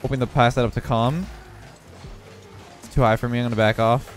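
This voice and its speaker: Young male gaming creator, congested, casual play-by-play over soft game music, mid-pitched. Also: fairly quick. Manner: relaxed running commentary on his own play. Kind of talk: live gameplay commentary.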